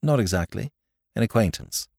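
A man's voice: on the low side, lively intonation, moderate pace, gravelly, darkish tone, no echo, clean studio sound. A man doing a breezy aristocrat. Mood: airy. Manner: airy, casual. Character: breezy upper-class English male aristocrat.

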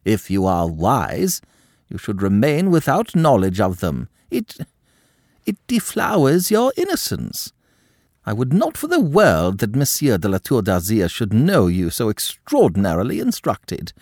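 Male reader doing prudish aristocratic matron, high-pitched, indignant, wildly swooping delivery. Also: huge pitch swings, unhurried, a little mellow, dry recording, studio quality, exaggerated voice. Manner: shocked, scolding, high-pitched. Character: haughty prudish aristocratic older countess.

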